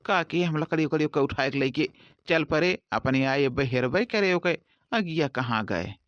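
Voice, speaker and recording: A man's voice: on the high side, animated, normal speed, a bit bright, no echo. Man acting grumbling Awadhi village farmhand, muffled sound. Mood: complaining. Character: grumbling Awadhi village farmhands idling over cane cutting. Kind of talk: rustic cartoon comedy dialogue.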